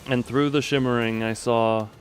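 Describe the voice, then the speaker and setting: A man's voice: mid-range voice, fairly even intonation, moderate pace, soft background music. A man streaming a game, chatting casually and reading the story text aloud with dramatic delivery.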